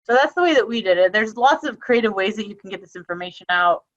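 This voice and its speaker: A woman's voice: mid-range voice, expressive, fast talker, highs cut off. A woman giving a webinar presentation on a video call, talking casually with frequent ums and restarts. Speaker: webinar presenter on elder abuse prevention.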